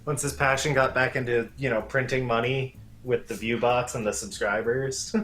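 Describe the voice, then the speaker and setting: A man's voice: medium pitch, fairly even intonation, normal speed, fairly bright tone. A man chatting casually as a co-commentator on a game stream, wry and joking, with some swearing. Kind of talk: casual chat.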